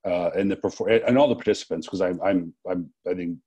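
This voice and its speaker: Hesitant man with a low, husky voice. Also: dark tone. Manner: hesitant, halting, searching for words. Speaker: interviewer, festival co-director. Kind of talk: unscripted, reflective interview talk.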